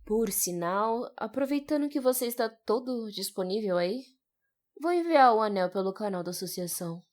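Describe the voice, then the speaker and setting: A woman's voice: mid-range voice, animated, normal speed, a little mellow, lightly acted. A woman with a Brazilian accent acting a fiercely protective, provoking mother who drives hard bargains in an audio novel. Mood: teasing, commanding. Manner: assertive, taunting.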